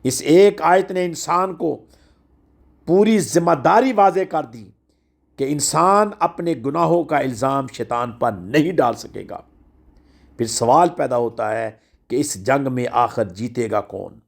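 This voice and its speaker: A male Islamic preacher with a heavily creaky, gravelly voice at a middling pitch. He speaks slowly and deliberately, exhorting listeners with emphatic oratory and repeating key phrases for weight. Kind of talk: religious sermon with recited citations.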